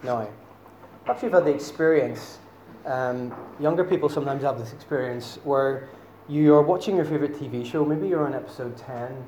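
Northern Irish preacher in a roomy church. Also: male voice, mid-range voice, moderate pace, dark tone, a little hiss or hum. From Northern Ireland. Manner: chatty and self-deprecating, many fillers. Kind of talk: sermon. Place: church hall.

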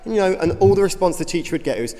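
A man with a slightly hoarse voice, giving an informal, earnest talk as a youth ministry director in a church. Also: sits high, lively intonation, rapid-fire, dark tone. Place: church hall. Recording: reverberant hall.

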